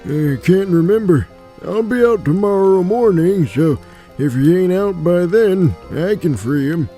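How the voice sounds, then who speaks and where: A man's voice: sits high, expressive, measured pace, warm tone, faint music behind. A man playing a wry, streetwise, chatty old dwarf jailbird with a casual, sardonic manner in a fantasy audio drama.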